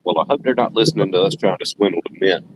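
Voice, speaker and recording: A man's voice: low-pitched, quick pace. A man joining a casual online chat as a guest, with dry, joking remarks. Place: Raleigh. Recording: dull lo-fi call with background noise.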